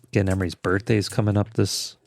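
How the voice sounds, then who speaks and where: Low-voiced man chatting sports. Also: level tone, fast, gravelly, no room sound, clean studio sound. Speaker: podcast co-host. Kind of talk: casual sports chat.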